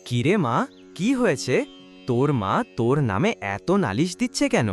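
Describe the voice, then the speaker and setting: A woman voicing a gentle, indulgent father and husband in a cartoon, speaking in a coaxing manner. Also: rumbling low, very animated, normal speed, faint music behind. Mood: mild. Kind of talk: cartoon dialogue acting.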